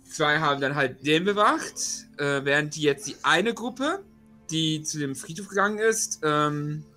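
Bright-voiced, higher-pitched man recapping hesitantly. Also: lively intonation, a little slow, soft background music. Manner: hesitant, rambling. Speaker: player in a tabletop role-playing game. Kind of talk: casual spoken session recap.

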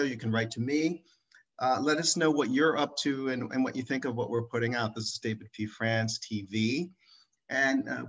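A man's voice: medium pitch, a bit bright. Male host for a casual online interview, rambling delivery, muffled lo-fi audio. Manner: rambling, with repeated restarts.

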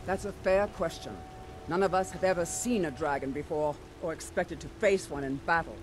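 A woman's voice: fairly low pitch, normal speed, over music, lightly acted. Stern, resolute female commander played by an actress. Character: stern, fearless female elf military commander. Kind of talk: fantasy video game acting.

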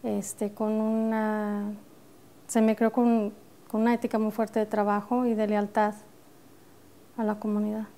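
A middle-aged Mexican woman, an architect and studio guest, telling her story with lively determination, with a northern Mexican accent touched by years in the US. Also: medium pitch, unhurried, pauses often. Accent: northern Mexican Spanish, with some American influence. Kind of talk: TV studio interview. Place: TV studio set. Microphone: lapel.

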